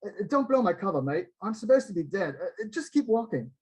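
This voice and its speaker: A man doing a hushed British rock star. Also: high-pitched, sing-song, breathy edge, voice pushed up, heavily acted. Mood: urgent hushed. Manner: hurried, hushed, insistent.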